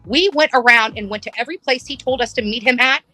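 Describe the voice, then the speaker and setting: A woman argues combatively, talking fast in a sharp, forceful voice that sits fairly high. She is emphatic and insistent, with music faintly behind her. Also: no room sound. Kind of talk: heated unscripted argument.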